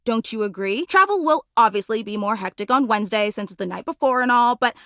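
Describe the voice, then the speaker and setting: Clingy obsessed fangirl game character, really high voice thats all over the place, melodramatic, super dry recording. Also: lively intonation, brisk, fairly bright tone, dry recording. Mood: eager, clingy. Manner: theatrical, gushing, needy, melodramatic. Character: obsessive, clingy, gushing lovestruck female superfan ceiling fan. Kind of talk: video game character acting.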